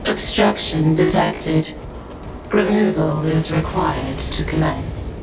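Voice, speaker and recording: An actor playing a mechanical announcer who reads out faults and orders in clipped, bureaucratic phrases with a deep gravelly monotone. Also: male voice, slow pace, exaggerated voice. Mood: flat. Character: rumbling low machine announcer, mechanical and flat. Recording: echoey and distant, under loud game music.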